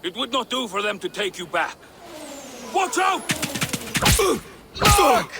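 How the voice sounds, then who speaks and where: An actor playing a rough, urgent Viking fighter in a dramatic video game. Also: male voice, very high-pitched, sing-song, slow pace, exaggerated voice. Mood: alarmed. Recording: loud battle noise in game audio.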